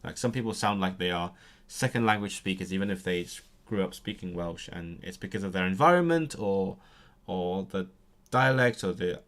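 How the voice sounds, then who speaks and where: A young man talks casually on a live stream as he studies. He interrupts himself often and says practice words aloud over and over, in a fairly low voice. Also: expressive, normal speed, a bit bright. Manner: chatty and self-interrupting, reads out and repeats practice words aloud. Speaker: language learning streamer. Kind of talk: casual live-stream chat while studying.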